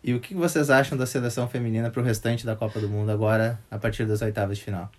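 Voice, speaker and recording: A man's voice: mid-range voice, fairly even intonation, fast. A 24-year-old man, jokey and opinionated, chatting casually about sport. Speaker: podcast co-host.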